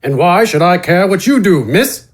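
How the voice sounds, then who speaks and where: A man voicing a stern, gruff city guard captain who speaks in a curt, commanding way in a fantasy audio story. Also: high-pitched, sing-song, moderate pace, pitched above the performer's own voice. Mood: incredulous, curt.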